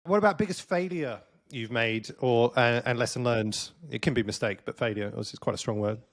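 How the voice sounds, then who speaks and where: A man's voice: medium pitch, normal speed. A man hosting an interview podcast, asking questions in a loose, conversational way with plenty of fillers. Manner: relaxed, conversational, lots of fillers. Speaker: podcast host.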